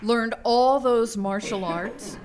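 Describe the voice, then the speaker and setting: An actress playing a plucky, boastful wife and martial-arts heroine, theatrical and cocky, in a live radio drama. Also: female voice, on the high side, takes it slowly, crowd noise. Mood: matter-of-fact. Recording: live stage recording, faint noise.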